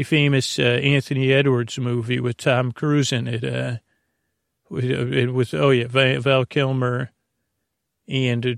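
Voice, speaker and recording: A man hosting a bedtime podcast, rambling in a halting, meandering, self-interrupting way with a creaky voice. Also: mid-range voice, no room sound, studio quality. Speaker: podcast host.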